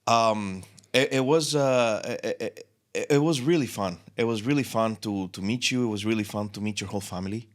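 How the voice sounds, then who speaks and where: A male interview host who speaks warmly and full of praise, stumbling and restarting as he talks. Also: lowish voice, brisk. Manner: warm, complimentary, stumbles and restarts. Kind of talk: casual interview chat.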